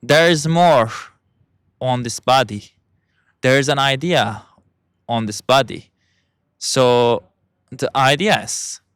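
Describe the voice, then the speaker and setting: A young man with a Turkish accent talks in English, stopping often to hunt for the right word. His voice is bright and crisp, and it sits close and dry on the mic with no room sound. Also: mid-range voice, no room sound. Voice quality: halting, searching for English words. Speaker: vlogger. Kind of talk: casual monologue.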